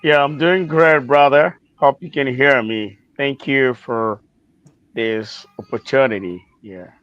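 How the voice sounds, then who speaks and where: A man's voice: mid-range voice, slow, fairly bright tone, dry recording. A man from the east of the country, speaking earnestly and with measure as a guest over a call. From eastern Congo. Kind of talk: personal introduction on a live talk. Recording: dry, dull lo-fi call line.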